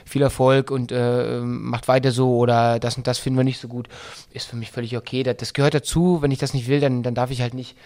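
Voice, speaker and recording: A man's voice: medium pitch, little pitch movement, a little mellow. Middle-aged guy, light berlin accent, football coach, lots of ums and restarts. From Berlin-Brandenburg. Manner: halting, many restarts and fillers. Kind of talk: casual interview.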